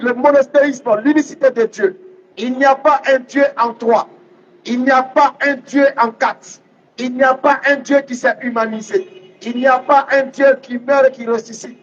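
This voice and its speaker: Guy preaching islam, really high voice, teaching hard and repeating lines, muffled lo-fi audio. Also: steady tone, normal speed. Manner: emphatic, didactic, repeats readings phrase by phrase. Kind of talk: public religious debate preaching. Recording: dull, lo-fi sound.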